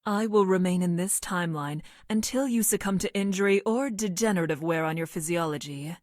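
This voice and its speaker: Woman as clinical young female scientist from the future, video game; lowish voice, deadpan, precise, dry close recording. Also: fairly quick, dry recording, natural voice. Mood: deadpan affection. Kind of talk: video game character voice lines.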